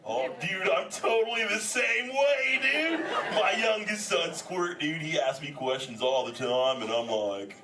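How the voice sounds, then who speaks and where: A man's voice: fairly high pitch, lively intonation, unhurried, few pauses, over loud music. A laid-back surfer sea turtle with a wildly swooping, drawling delivery full of exclamations and slang. He hosts a comic audience show, heard from a distance in an echoey, noisy space. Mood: exuberant. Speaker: host of an interactive live audience show. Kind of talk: improvised comic audience banter. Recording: echoey, noisy hall, voice from afar.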